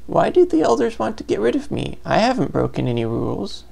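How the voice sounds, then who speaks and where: A man's voice: high-pitched, huge pitch swings, fairly quick, gravelly, darkish tone, full character voice. An actor playing a naive, earnest seventeen-year-old boy weaver in a video game, with a questioning manner. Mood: puzzled, worried.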